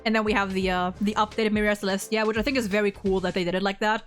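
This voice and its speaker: A woman's voice: medium pitch, steady tone, fast talker, soft background music. A woman chatting casually as host about a video game, emphatic and opinionated, swearing freely. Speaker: host of a game story ranking show.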